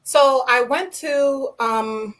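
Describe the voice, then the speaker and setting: A woman's voice: high voice, very slow, a bit bright. A young woman from New York City, a podcast guest explaining her work with light laughs. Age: young adult. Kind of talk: podcast interview.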